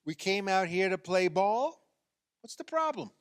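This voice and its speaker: An actor playing an eager, needling fifty-year-old husband in a radio play, excitable and argumentative. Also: male voice, sits high, measured pace, clean tone, dry recording, clean studio sound. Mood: insistent, bickering.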